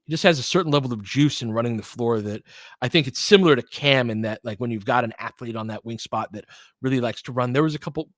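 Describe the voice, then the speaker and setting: A man's voice: medium pitch, quick pace, no echo. Man calling basketball, informal and partisan, dry close sound. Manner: partisan, informal, excitable on big plays. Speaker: host doing fan play-by-play. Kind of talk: live basketball commentary and analysis.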